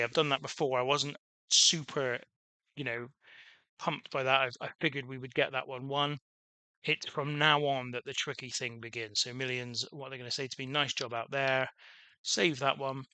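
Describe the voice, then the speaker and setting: Man, gaming commentary, bright tense voice, dry close mic, rambling and self-deprecating. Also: medium pitch, no room sound. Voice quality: self-described dull voice. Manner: rambling, self-deprecating, many restarts and fillers. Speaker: gaming channel host. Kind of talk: casual let's-play commentary.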